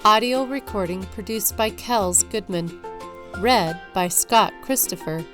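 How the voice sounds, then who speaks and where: Unhurried woman announcer over music. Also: medium pitch. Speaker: announcer of audiobook credits. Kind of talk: scripted narration. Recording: music playing behind the voice.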